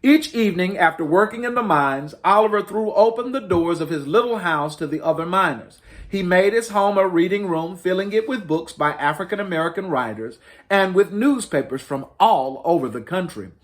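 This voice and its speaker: A man reads a children's story aloud with highly varied, lively intonation. His voice sits a little high, and he places emphasis deliberately, like a host telling a tale to young listeners. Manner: deliberate, emphatic storytelling with dramatic rises and falls. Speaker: host reading stories to children. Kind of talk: expressive children's storybook reading.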